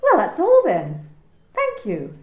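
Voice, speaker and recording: Boss figure by an actress, lady manager, calm and needling, lilting high voice, roomy home recording. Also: slow, exaggerated voice. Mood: cool probing. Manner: calm, insinuating, repeats questions. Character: cool probing female office manager. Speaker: actor in an amateur radio play.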